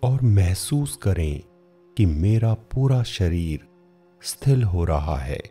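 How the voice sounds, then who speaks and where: Guy doing guided meditation narration, really slow n measured, soft-edged slightly husky voice, lots of pauses. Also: deep voice, very animated, faint music behind. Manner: measured, instructional, with frequent pauses.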